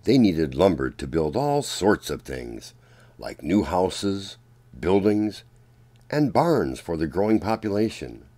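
Male children's story narrator, unhurried and deliberate, with lively swings in pitch. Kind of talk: read-aloud storybook narration.

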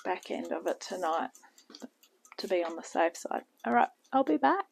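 Woman, middle-aged, low voice, gravelly, mutters asides, home kitchen, noisy recording. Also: big pitch swings, normal speed. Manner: chatty, thinks aloud, trails off into muttering. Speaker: home cooking channel host. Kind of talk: casual cooking tutorial. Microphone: camera on the counter.

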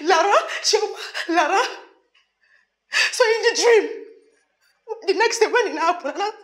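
A woman playing a high-voiced Nigerian friend begging forgiveness. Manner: pleading, swings between sobbing and nervous laughter. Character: remorseful woman begging her friends, dramatic. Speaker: actress in a comedy skit. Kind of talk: comic skit acting.

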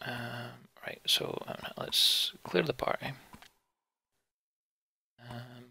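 A man doing let's play game commentary, reading on-screen text aloud and thinking out loud. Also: medium pitch, steady tone, drawn-out words, creaky, a little hiss or hum.

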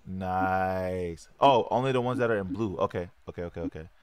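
A man with a slight lisp chatting casually while playing a video game with a friend, excitable and swearing at the screen. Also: deep voice, moderate pace, clean tone, no echo. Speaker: gamer. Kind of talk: casual co-op gameplay chat. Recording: music playing behind.

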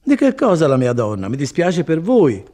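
A man's voice: a little high, wildly swooping pitch, normal speed, warm tone, pitched above the performer's own voice. A man voicing a folksy, placid friar who rambles on consolingly in an audiobook story. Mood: coaxing. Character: chatty, placid old-fashioned friar, folksy and evasive. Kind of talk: dramatized audiobook reading.

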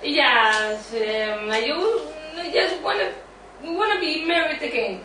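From far off in a very echoey room, a woman plays a wounded, freshly dumped sitcom guy. The delivery is slow, halting and plaintive, in a high voice. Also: animated, bright, forceful tone, heavily acted. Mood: defensive, plaintive. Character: mopey, wounded, newly dumped man, Ross. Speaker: student in an amateur dramatized reading. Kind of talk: amateur dramatized sitcom reading. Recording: distant, very echoey room, faint noise.

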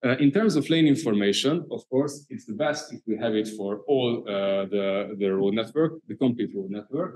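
A man with a Hungarian accent giving a conference presentation, halting often with filler sounds. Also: on the low side, animated, a little mellow. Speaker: conference speaker. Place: conference hall.

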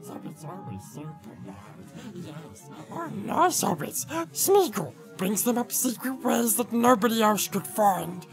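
A hissing, wheedling creature that slides from breathy whispers into shrill cries. The voice climbs very high and swings wildly. Music plays softly underneath. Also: male voice, sing-song, measured pace, few pauses, heavily acted. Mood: whining, sly. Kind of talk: audiobook character reading.

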